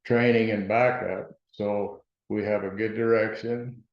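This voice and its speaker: A town board trustee, a soft-spoken man speaking slowly and flatly at a meeting. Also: lowish voice. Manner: soft-spoken, flat, unhurried. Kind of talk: informal meeting talk. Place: meeting room. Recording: echoey, dull room recording.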